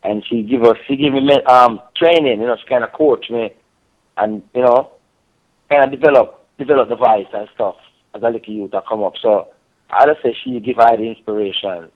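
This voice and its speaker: A man's voice: medium pitch, normal speed. Laid-back Jamaican man, lo-fi line. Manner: laid-back, peppered with "you know" and "yeah, man". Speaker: reggae singer, guest. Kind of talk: casual interview over a call.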